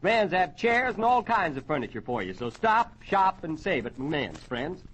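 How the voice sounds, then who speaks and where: A man's voice: a little high, big pitch swings, normal speed, a bit bright, breathy edge. A man hosting a 1940s radio variety show, announcing and reading ads with folksy, joking showman patter on an old, noisy recording.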